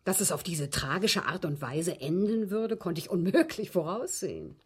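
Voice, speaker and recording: An elderly, articulate widow in a radio play. Her voice is low and husky, swinging widely in pitch. She speaks formally and precisely, coolly confessing with haughty, dry scorn. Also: very animated, normal speed, darkish tone, exaggerated voice. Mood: composed, grave. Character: proud, articulate old English widow, coolly confessing to police. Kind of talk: radio drama acting.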